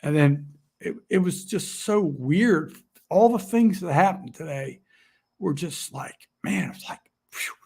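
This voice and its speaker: A man talking to camera in an emotional, rambling vlog, halting often with fillers and restarts. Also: a little high, lively intonation, gravelly, darkish tone, husky. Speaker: outdoor vlogger. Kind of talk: emotional casual monologue.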